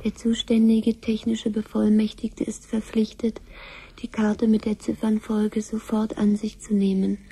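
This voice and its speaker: Actress doing female computer announcer, totally monotone, very soft dark tone, bit of noise. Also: medium pitch, unhurried, close to an everyday voice. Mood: impassive, formal. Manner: impersonal, monotone, formal. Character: calm female control computer of nuclear command centre. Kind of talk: 1970s German radio play acting, formal announcements. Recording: faintly noisy 1970s radio recording.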